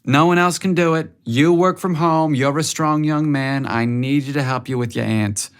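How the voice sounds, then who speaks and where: Guy doing a pushy loving mom for a story, kinda higher voice, dramatic and exasperated coaxing. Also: moderate pace, clear, resonant tone, close to an everyday voice. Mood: pleading, insistent. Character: gushing older mother, pushy and affectionate.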